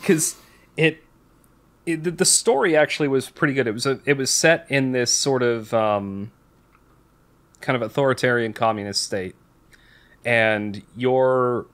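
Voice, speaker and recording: A man's voice: medium pitch, unhurried, a bit bright. A man hosting a podcast in casual chat, talking in a rambling, candid way and often interrupting his own sentences. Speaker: podcast host.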